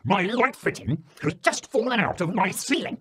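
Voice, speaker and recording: A man's voice: sits high, animated, moderate pace, a bit bright, a little hiss or hum, pitched above the performer's own voice. A man voicing an indignant downstairs neighbour in a story, scolding and threatening. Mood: outraged.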